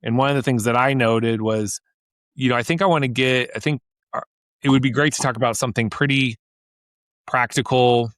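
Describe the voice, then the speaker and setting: A man's voice: medium pitch, fairly quick, fairly bright tone, dry recording. A man in his thirties co-hosting a podcast, talking in an enthusiastic, rambling way with many restarts. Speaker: podcast co-host. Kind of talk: casual chat.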